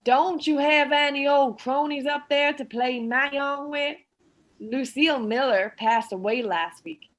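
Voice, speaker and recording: A woman playing a bossy, scornful middle-aged daughter-in-law with a sharp, sarcastic, commanding manner in a radio play. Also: high voice, a little slow. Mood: irritated, sarcastic. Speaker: actor in an amateur radio play.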